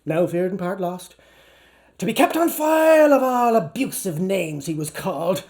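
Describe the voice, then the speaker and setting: A man with an Irish accent giving an animated, declamatory stage reading of a novel, playful with the wordplay and shifting between hushed and loud delivery. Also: extremely high pitch. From Ireland or Canada. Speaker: actor performing a literary reading. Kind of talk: theatrical live reading of a novel.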